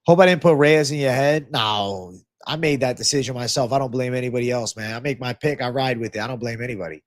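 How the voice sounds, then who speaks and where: A man with a mid-pitched voice that sounds a bit clogged from a stuffy nose hosts a casual livestream. He talks in a loose, slangy way, drops the odd curse and calls his viewers bro as he answers their comments. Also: fast talker. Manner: laid-back, slangy, swears freely, calls viewers bro. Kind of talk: casual livestream Q&A on fight betting.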